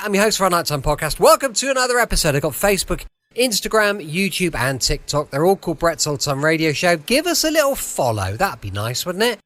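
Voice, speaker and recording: Lively English man chatting as host. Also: a little high. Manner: rambling, jokey. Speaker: podcast host. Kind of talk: casual, chatty podcast introduction.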